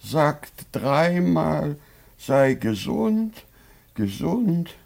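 An actor playing a cunning, lecherous old miser who feigns sickness, drawn-out and wheedling, in a stage comedy. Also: male voice, a little high, big pitch swings, gravelly, darkish tone, full character voice. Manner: drawn-out, wheedling, theatrically feeble. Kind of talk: classic stage comedy radio play.

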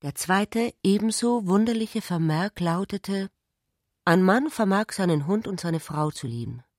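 A woman's voice: medium pitch, animated, unhurried, no echo, studio quality. A woman giving scripted literary narration in a radio play.

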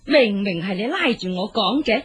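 Huffy hong kong cantonese housewife, gossipy, voice up and down, radio play. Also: female voice, medium pitch, expressive, normal speed, breathy edge. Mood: indignant. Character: indignant gossiping housewife, quick to blame others. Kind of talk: Cantonese radio drama acting.

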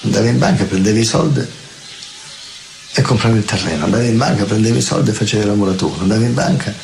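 A man's voice: on the low side, fast talker, gravelly, darkish tone. An older man, interviewed, recounting his past in a rambling way, listing places and steps. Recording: noisy recording with clicks.

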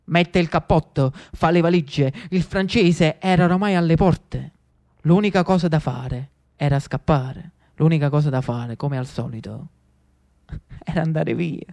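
A man with a Neapolitan accent narrating a historical monologue in a dramatic, expressive storytelling manner. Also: on the high side, a little slow, gravelly. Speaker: actor and storyteller.

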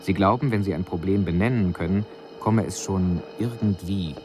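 An actor playing a male alien emissary who reports on humans in a measured, formal, analytical way in a radio drama. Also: sits low, noisy background, natural voice. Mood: sober, analytical.

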